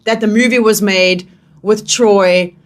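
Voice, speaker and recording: A woman's voice: mid-range voice, steady tone, takes it slowly. A woman, interviewing a guest casually as a live radio host. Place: radio studio.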